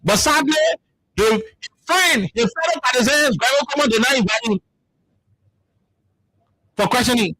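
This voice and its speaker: A Liberian man hosting a radio talk show, with a notably high voice and a Liberian English accent. He talks emphatically and stumbles through repeated words as he builds his argument. The studio sound is dry and close. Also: expressive, a little slow, long pauses, pushed and bright, no room sound, rough recording. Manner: emphatic, repeats words while searching for them. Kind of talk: heated political commentary.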